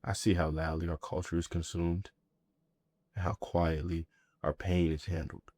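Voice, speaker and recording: A man performing a scripted spoken-word monologue in a measured, deliberate, reflective way, in short weighted phrases. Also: low voice, flat delivery, darkish tone.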